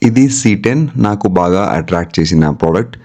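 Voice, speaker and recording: A man's voice: low-pitched, fast, a little mellow, slight background noise. A man with a Telugu accent narrating a product review vlog, speaking directly and mixing English terms into his talk. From India. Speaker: vlogger.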